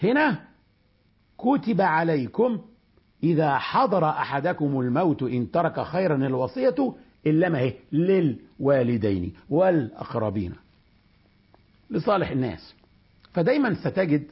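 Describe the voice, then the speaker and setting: A man with an Egyptian accent teaching a Quran commentary lesson, explaining verses in colloquial speech and reciting them slowly. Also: fairly high pitch, gravelly, slightly rough. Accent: Egyptian Arabic. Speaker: religious teacher. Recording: dull lo-fi recording.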